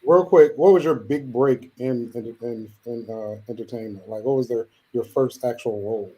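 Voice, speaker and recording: Mellow-voiced man co-hosting. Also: mid-range voice, normal speed, breathy edge, poor audio. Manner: relaxed, jokey questions. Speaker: co-host. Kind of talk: casual interview.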